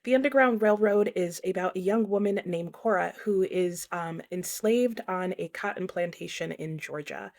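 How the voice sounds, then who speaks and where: A woman with a mid-pitched voice gives a casual, conversational book review in vlog style. She explains her opinions plainly, as if talking to viewers.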